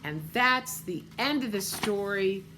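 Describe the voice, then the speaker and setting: A woman reading a children's picture book aloud, gently pointing out the pictures. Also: medium pitch, animated, takes it slowly, a little hiss or hum. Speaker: storybook reader.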